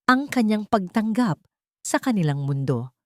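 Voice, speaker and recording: A woman's voice: mid-range voice, very animated, unhurried, a little mellow, no room sound, clean studio sound. A woman with a Filipino accent reading a scripted, dramatic romance story as its narrator.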